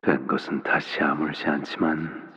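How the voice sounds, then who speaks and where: A man voicing a soft-spoken, menacing father figure of a crime syndicate in a video game, with gentle parental phrasing. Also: little pitch movement, normal speed. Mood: calm, veiled menace. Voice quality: very deep, low voice. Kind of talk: scripted video game voice acting. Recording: long reverb, dull muffled sound.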